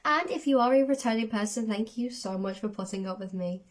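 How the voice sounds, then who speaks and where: A woman chatting casually as the host of a reaction video, excitable, her voice going a little from a cold. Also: fairly high pitch, a little fast. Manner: excitable, self-described overdramatic. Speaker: host of a music reaction channel.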